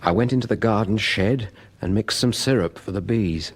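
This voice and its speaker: An actor playing a middle-aged put-upon husband in a British radio drama, earnest and exasperated, with a British accent. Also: male voice, on the low side, normal speed, close to an everyday voice. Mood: recounting. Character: put-upon beekeeping husband, recounting events with grievance. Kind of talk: radio drama acting and first-person narration.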